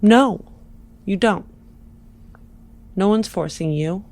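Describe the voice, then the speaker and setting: A woman's voice: mid-range voice, slow, darkish tone. Woman as a probing, polite would-be adoptive mother. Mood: earnest, probing. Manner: earnest, questioning. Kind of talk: improvised tabletop role-play.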